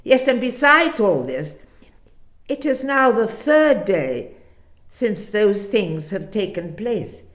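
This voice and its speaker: A woman voicing an earnest, distressed disciple in a dramatic scripture reading, emphatic and halting. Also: mid-range voice, big pitch swings, roomy sound. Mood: urgent, grieving. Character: distressed, earnest disciple recounting dashed hopes and astonishment.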